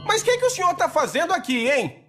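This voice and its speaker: Squeaky, very high-pitched cowardly young slacker. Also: male voice, huge pitch swings, brisk, heavily acted. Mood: nervous. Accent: Brazilian. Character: cowardly, goofy lanky young slacker, male. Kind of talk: dubbed cartoon acting. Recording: loud music behind the voice.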